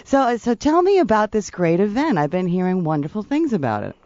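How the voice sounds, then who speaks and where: Animated woman hosting a radio show. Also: mid-range voice, a little fast, dry recording. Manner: jokey, exclamatory. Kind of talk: lively chatty radio interview. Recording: slightly dull lo-fi radio recording.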